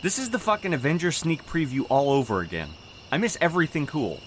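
An animated male voice for a geeky young boyfriend, played by an actor. He is exasperated and complaining, in audio drama style, with faint crickets in the background. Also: on the high side, fast talker, a little hiss or hum.

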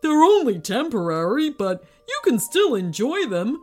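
A gentle, coaxing talking basketball from a children's storybook, as a woman reading aloud puts him on. He speaks slowly and invitingly, with soft music under him. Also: fairly high pitch, very animated. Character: friendly magical talking basketball, male.

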